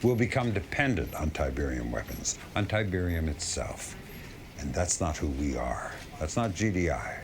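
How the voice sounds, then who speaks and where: An actor playing a gruff, principled general who briefs his field commander in an emphatic, plain-spoken way in a video game cutscene. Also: rumbling low, very animated, moderate pace, background noise, heavily acted. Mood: grave, earnest.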